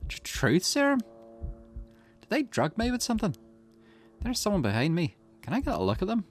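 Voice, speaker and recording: High young woman captive, played by a man, drugged, defiant, panicky, stammering, visual novel drama, background music. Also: huge pitch swings, normal speed, heavily acted. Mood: woozy alarm. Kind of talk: visual novel character reading.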